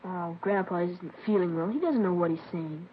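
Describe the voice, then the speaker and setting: A woman's voice: lowish voice, moderate pace, lightly acted. A chatty, offhand boy who is pretending to be someone else , voiced by a woman. He dodges questions with easy talk in a 1950s radio crime drama. The old recording is dull with a faint hiss. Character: runaway boy passing as another, evasive. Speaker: boy impostor.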